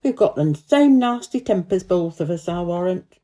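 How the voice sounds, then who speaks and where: A woman's voice: on the low side, lively intonation, normal speed, mellow, close to an everyday voice. A woman voicing a surly, blunt old male gardener with a Yorkshire accent in a storybook reading. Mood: gruff, frank. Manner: blunt, gruff dialect speech.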